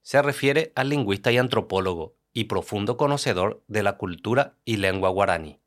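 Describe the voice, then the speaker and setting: A man, interviewing and reading explanatory narration in a calm, explanatory manner. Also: lowish voice, normal speed. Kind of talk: scripted narration and interview questions.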